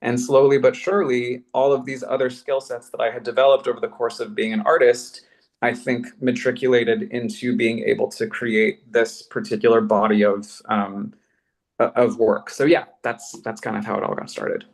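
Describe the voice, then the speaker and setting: An American man with a medium-pitched voice talks as an artist reflecting on his work. He is earnest and articulate, choosing slightly lofty words in a conversational panel setting. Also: little pitch movement, normal speed, low-quality recording. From Colorado, United States. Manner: earnest, articulate, elevated wording. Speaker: podcast creator and artist.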